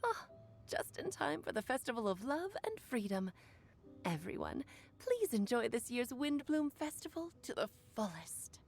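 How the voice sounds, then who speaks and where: A woman's voice: a little high, sing-song, a little slow. A woman voicing a worldly, affectionate mother mage in a video game, poised and theatrical in flowing phrases. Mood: reminiscing. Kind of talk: video game character acting.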